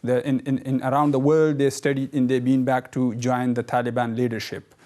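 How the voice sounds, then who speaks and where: A man from Afghanistan, speaking as a guest in a debate and explaining his view in halting, repetitive English. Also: medium pitch, flat delivery, dark tone. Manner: halting, repeats words, earnest. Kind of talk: debate discussion.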